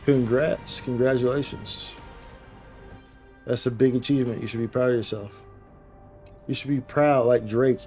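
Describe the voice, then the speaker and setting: Casual male game streamer, mellow tone, slangy and repetitive. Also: mid-range voice, fairly even intonation, moderate pace, pauses often, soft background music. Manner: slangy, bro-heavy, repeats phrases for emphasis. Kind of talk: casual livestream chat while gaming.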